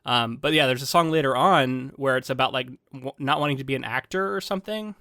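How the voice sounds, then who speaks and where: A man's voice: mid-range voice, a little fast, no room sound, studio quality. Congested man chatting on a podcast. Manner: rambling, animated, frequent ums and restarts. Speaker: podcast co-host. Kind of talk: casual podcast chat.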